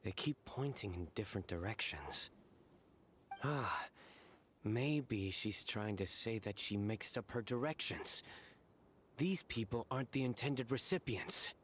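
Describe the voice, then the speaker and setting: Level-headed game ally: somewhat low voice, measured, thoughtful. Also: male voice, expressive, a bit bright, slight background noise. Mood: pondering. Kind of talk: video game character acting.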